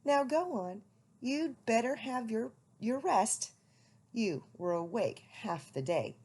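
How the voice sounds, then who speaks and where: A woman's voice: on the high side, lively intonation, slow pace, a little mellow, breathy edge, exaggerated voice. A woman as a tired, firm mother owl.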